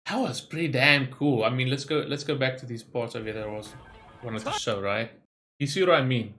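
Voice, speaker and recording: A man's voice: medium pitch, brisk, fairly bright tone, faint music behind. A man hosting a gaming reaction video, talking informally and thinking aloud as he rambles through his commentary. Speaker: gaming reaction channel host.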